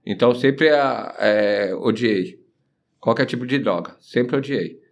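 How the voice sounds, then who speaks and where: A man, telling his own life story plainly and haltingly as a guest, with frequent restarts. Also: medium pitch, music in the background. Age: 40s. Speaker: guest in treatment. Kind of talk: personal testimony.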